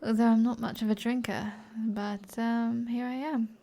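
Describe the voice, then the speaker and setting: A British woman playing an alluring, flirtatious sorceress in an ASMR roleplay, in a soft breathy voice that often drops toward a whisper, with a teasing manner. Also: a little high, level tone, moderate pace. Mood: playful, teasing.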